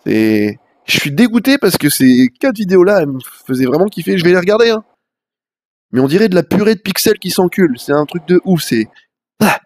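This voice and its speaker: A man's voice: high voice, sing-song, fairly quick, darkish tone, dry recording. Stuffy-nosed young man streaming games. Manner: rambling, slangy, frequent fillers. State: stuffy with a head cold. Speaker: live-streaming gamer. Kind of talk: casual livestream chat.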